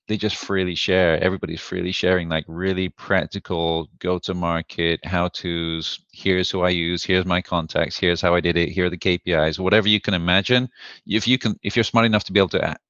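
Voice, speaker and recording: A man's voice: sits low, fairly bright tone, dry recording, muffled recording. A man from the UK hosting a podcast, asking questions and sharing his own experience in a thoughtful, hesitant way with "uh" fillers. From United Kingdom. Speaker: podcast host. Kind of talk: conversational interview.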